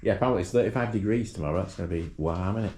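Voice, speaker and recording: A man's voice: sits low, racing, darkish tone, breathy edge. A man chatting casually in a travel vlog, joking about himself and laughing often. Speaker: travel vlogger. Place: hotel room and outdoors.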